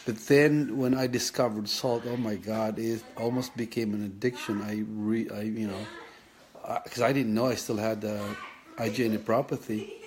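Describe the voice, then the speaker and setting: A man, recalling his childhood in a reflective personal vlog, thinking aloud with many pauses and restarts. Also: fairly low pitch, steady tone, a crowd in the background. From Philippines. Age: 50s. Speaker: vlogger sharing his kidney story.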